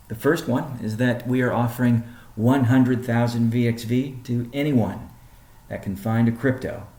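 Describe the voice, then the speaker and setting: A man presenting as a company chief on a business conference call, speaking in measured, deliberate phrases. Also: on the low side, gravelly, warm tone. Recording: roomy, echoing sound.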